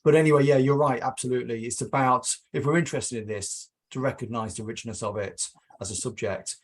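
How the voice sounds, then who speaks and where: A man's voice: medium pitch, steady tone, a little fast. A man answering a question after his academic lecture, agreeable and explanatory. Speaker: guest lecturer. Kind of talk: academic discussion.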